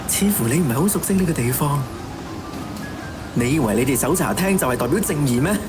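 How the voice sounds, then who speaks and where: Man acting animated, cynical Cantonese serial killer. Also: mid-range voice, brisk, loud music behind the voice, close to an everyday voice. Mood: cynical, preaching. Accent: Hong Kong Cantonese. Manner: theatrical taunting monologue with scornful laughs. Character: unrepentant male serial killer, self-styled executioner, cynical, fanatical. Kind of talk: radio drama acting.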